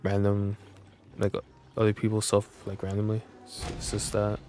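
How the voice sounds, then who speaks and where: Low-voiced, rambling young male streamer. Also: monotone, mellow, faint music behind. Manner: rambling, halting delivery. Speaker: game streamer. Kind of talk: casual livestream chat.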